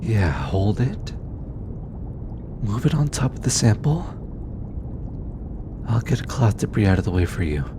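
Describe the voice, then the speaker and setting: Man voicing a hesitant, slow-talking shy alien scientist. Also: big pitch swings. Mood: gentle, guiding. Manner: hesitant, stammering, soft-spoken. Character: shy, gentle alien scientist, flustered and caring. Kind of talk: intimate audio roleplay addressed to the listener. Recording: clear low background rumble noise.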